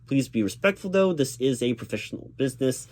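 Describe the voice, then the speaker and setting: A man doing a spoken ASMR job interview roleplay as a reassuring, gently professional interviewer who speaks a little hesitantly. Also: mid-range voice, expressive, fairly quick. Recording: clear background noise of keyboard typing. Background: computer keyboard typing.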